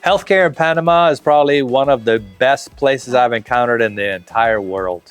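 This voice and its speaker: A man's voice: mid-range voice, normal speed, clean tone, dry recording, soft background music. A man in his fifties, speaking casually and at length in an interview, with fillers and restarts. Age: 50s. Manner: relaxed, talkative, lots of fillers and restarts. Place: outdoor covered patio, tropical garden.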